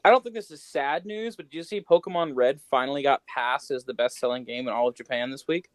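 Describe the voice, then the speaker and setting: A man's voice: medium pitch, fast, dry recording. A man hosting a podcast in casual chat, with an offhand manner and dry understatement. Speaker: podcast host. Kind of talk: casual chat about games and news.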